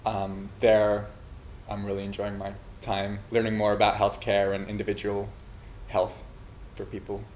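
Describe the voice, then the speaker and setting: A man from Finland who is also a nursing student, answering interview questions about his startup in a measured, thoughtful way, pausing often with "uh". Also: lowish voice, monotone, darkish tone, a little hiss or hum, poor audio. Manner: measured, thoughtful delivery with frequent "uh" pauses. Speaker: entrepreneur pitching a startup idea.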